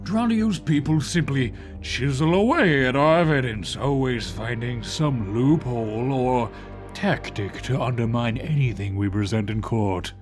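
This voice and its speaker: A man's voice: medium pitch, soft background music, close to an everyday voice. Man playing a grave, authoritative police chief. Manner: grave, deliberate briefing delivery. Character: authoritative male police chief, serious and measured. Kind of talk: audio drama acting.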